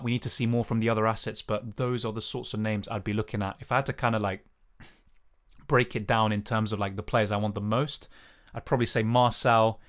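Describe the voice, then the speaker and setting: Young man, raspy, lower register, casual live-stream Q&A host. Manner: casual, hedging, many fillers. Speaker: fantasy football streamer. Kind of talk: live stream Q&A, reading chat.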